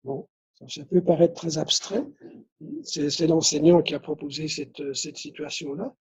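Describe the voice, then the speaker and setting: A man's voice: on the high side, fairly even intonation, a little slow, darkish tone, breathy edge, poor audio. A man from Belgium whose first language is Dutch, giving a conference lecture, restarting phrases and adding tag questions. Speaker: conference speaker.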